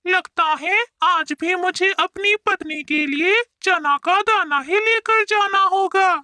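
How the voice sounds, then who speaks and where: A woman's voice: very high-pitched, slow, sharp tone, no room sound, clean studio sound, heavily acted. A woman voicing a tired, patient male parrot husband in a cartoon, with a weary, exasperated manner. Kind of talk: cartoon voice acting.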